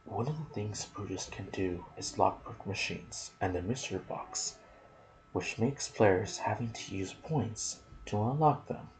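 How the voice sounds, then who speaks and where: Male ASMR gaming host, soft and intimate delivery slipping into whispers, low gravelly register. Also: lively intonation, a little slow, a little hiss or hum, poor audio. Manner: soft-spoken, sometimes dropping to a whisper. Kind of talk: ASMR gaming commentary, reading trivia with asides.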